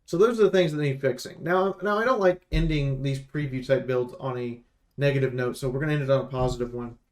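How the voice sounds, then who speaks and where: A man's voice: medium pitch, fast talker, darkish tone. A man giving casual, opinionated commentary on a game preview, speaking bluntly and with emphasis. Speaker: game reviewer and streamer.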